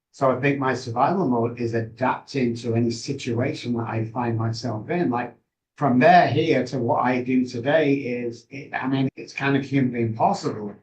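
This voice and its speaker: A man with a Manchester accent, a guest on an interview, talking in a brisk, emphatic way from a home office over a video call. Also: medium pitch. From England. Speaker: guest, addiction specialist. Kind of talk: conversational interview. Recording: distant from the mic.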